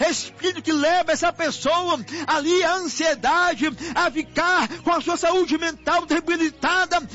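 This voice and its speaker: A man's voice: extremely high pitch, bright, forceful tone. A Brazilian man preaching in fervent, pleading prayer, repeating his invocations as he goes. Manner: impassioned, pleading, repetitive invocations. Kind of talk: fervent extemporaneous intercessory prayer. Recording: muffled lo-fi audio with music behind. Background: music under the voice.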